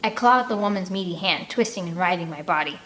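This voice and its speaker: A woman reading an audiobook aloud as host, with expressive narration and casual delivery. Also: fairly low pitch, fast, a little hiss or hum.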